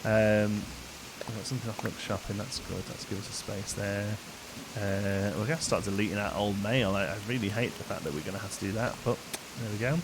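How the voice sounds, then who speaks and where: A man's voice: steady tone, a little fast, noisy background. A man chatting casually while streaming a game, thanking viewers and reading their gift notes. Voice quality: deep voice. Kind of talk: casual live gameplay chat. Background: game music.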